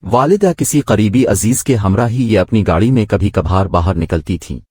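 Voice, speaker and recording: Male story narrator, low and husky register, scripted moral-tale reading, very dry sound. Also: lively intonation, normal speed, dark tone, no echo.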